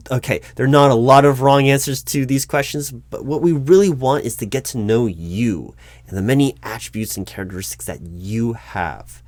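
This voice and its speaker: A man's voice: medium pitch, a little mellow, no echo. Dry-sounding, formal corporate man interviewer. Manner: formal, wordy, politely corporate. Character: polite, officious male corporate recruiting manager. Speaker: roleplay performer as corporate recruiting manager. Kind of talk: one-sided ASMR job interview roleplay, spoken aloud.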